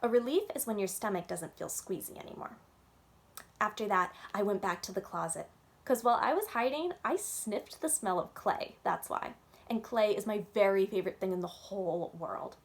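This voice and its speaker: Expressive woman reading a children's story. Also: mid-range voice, normal speed, creaky. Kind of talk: expressive first-person children's book narration.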